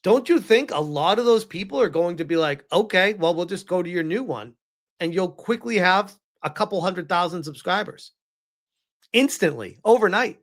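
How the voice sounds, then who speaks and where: A man's voice: high voice, lively intonation, normal speed. A man doing casual live reaction commentary in an incredulous, mocking and emphatic way.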